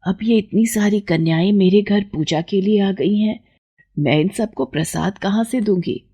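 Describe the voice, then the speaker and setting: A woman voicing a poor, devout and gentle grandmother in a moral story. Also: mid-range voice, unhurried, slightly rough. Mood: worried.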